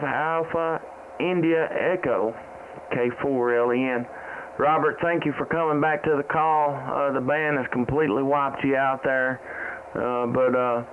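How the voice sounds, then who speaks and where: Southern guy on a ham radio stream, gravelly voice, kinda halting and folksy, tinny phone-like audio. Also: a little high. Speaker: amateur radio operator and live streamer. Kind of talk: live stream chat and ham radio calling. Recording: muffled phone-like audio with faint noise.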